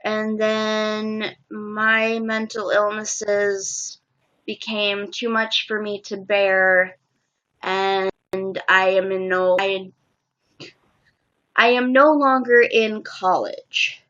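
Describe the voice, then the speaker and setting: A woman hosting a casual livestream chat, who speaks softly and hesitantly, with frequent pauses. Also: mid-range voice, little pitch movement. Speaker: livestream host. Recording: dull lo-fi audio.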